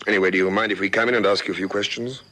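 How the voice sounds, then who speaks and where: A South African man playing a steady, probing police lieutenant in a radio drama, with clipped authority. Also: lowish voice, very fast. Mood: calm, businesslike. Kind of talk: old radio drama acting. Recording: vintage radio recording, faint noise.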